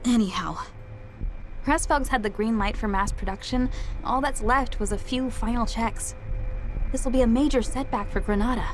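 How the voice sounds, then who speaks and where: Higher-pitched, earnest woman engineer played by an actress. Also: moderate pace, natural voice. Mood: concerned. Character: young woman engineer, earnest, caring, fussing over her friend. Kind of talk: video game cutscene acting. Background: music.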